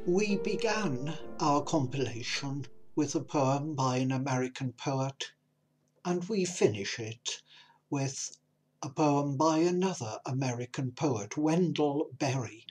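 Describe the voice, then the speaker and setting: A man presenting and reading poetry in a measured manner, pausing between phrases. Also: mid-range voice, lively intonation, darkish tone, faint music behind, rough recording. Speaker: compilation presenter and poetry reader.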